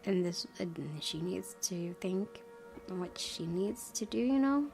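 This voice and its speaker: A woman's voice: on the low side, lively intonation, slow pace, vocal fry, a little mellow. Woman gamer with music behind her. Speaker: gaming video host. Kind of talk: let's play commentary and reading aloud.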